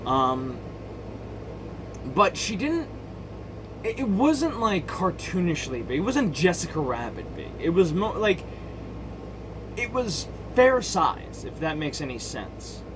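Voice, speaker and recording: A man giving casual, opinionated commentary in a halting, blunt way, often interrupting himself to rephrase and swearing freely. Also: high-pitched, sing-song, noisy background. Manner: halting, self-interrupting, circling back to rephrase; blunt, crude slang and swearing. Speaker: video game movie commentator.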